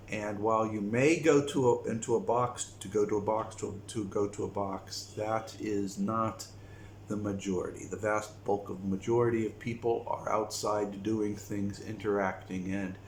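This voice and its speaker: A man from the United States talking slowly and reflectively to his viewers in a casual vlog. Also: lowish voice. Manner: slow, reflective, with frequent pauses. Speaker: vlogger. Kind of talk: casual talk to camera. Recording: echoey room with faint noise.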